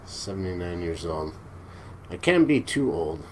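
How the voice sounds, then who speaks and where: A man in his forties hosting a casual live stream, chatting with viewers in a relaxed, joking way. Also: sits low, measured pace. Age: 40s. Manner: laid-back, joking, chuckles often. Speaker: livestream host. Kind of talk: casual live chat reading viewer comments. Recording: noticeable background noise.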